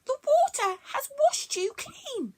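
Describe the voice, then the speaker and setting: A woman's voice: a little high, lively intonation, measured pace, fairly bright tone, no echo. A woman voicing a fretful, fluffy little male magic creature in a storybook, speaking in an anxious, pleading way. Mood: alarmed. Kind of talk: storybook read-aloud.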